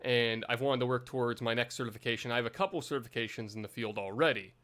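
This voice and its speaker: A man's voice: mid-range voice, fast, fairly bright tone. Rambling young guy venting casually. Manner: rambling, filler-heavy, self-deprecating. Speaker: vlogger.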